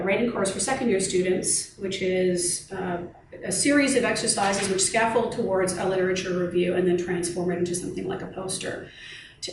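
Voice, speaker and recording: Woman lecturer explaining stuff at a workshop, super echoey, noisy recording. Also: mid-range voice. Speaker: university lecturer. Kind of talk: workshop presentation to colleagues. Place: meeting or seminar room. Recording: echoey room, distant mic, noisy background.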